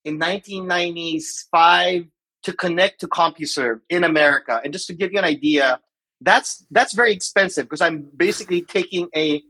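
Guest, man in his 40s from the Philippines, bright and forceful tone, reflective. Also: fairly high pitch, normal speed, rough recording. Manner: reflective, with many fillers and restarts. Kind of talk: casual interview talk.

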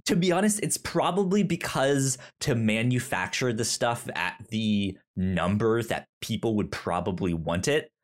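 A man co-hosting a podcast, talking thoughtfully in a casual, halting way with many restarts. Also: on the low side, animated. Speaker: podcast co-host. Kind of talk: casual chat.

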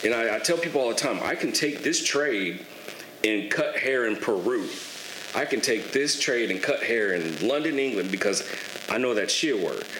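A young man in his twenties, guesting on a podcast, tells his story in a relaxed, candid way and swears casually. His voice sits fairly low. The room is echoey, with a faint hiss underneath. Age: 20s. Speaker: guest, barber and clothing entrepreneur.

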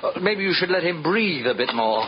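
A man acting a fussy, fretful, polite gentleman in a 1950s radio drama, speaking hesitantly and anxiously. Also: a little high, very animated, moderate pace. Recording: noisy, dull vintage radio recording.

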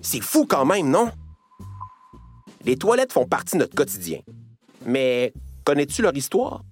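A man's voice: medium pitch, huge pitch swings, measured pace, faint music behind. A man narrating a scripted educational piece for children, playfully addressing the listener.

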